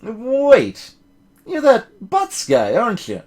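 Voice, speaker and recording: Guy doing a blunt dopey cop from a game, blustery, pitch way up and jumpy. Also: wildly swooping pitch, takes it slowly, darkish tone, exaggerated voice. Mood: blustering challenge. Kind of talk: voiced visual novel game reading.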